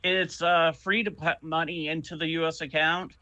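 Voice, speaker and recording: A man's voice: fairly high pitch, little pitch movement, moderate pace, sharp tone, no echo, muffled recording. A man from Canada, a podcast guest, chatting casually and hesitantly with many ums and uhs. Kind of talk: casual chat.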